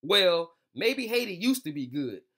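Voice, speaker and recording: Man reading as a discouraged boy, high bright voice. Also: sing-song, unhurried, exaggerated voice. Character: discouraged young boy doubting his country's team.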